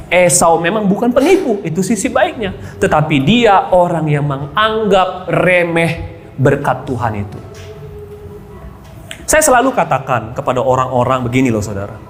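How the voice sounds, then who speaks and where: A man's voice: fairly high pitch, a little hiss or hum. A male pastor preaching a sermon in a church hall, his voice rising and falling widely from one phrase to the next. He keeps turning to the congregation with rhetorical questions. A long reverb trails every phrase. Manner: addresses the congregation constantly, asks rhetorical questions.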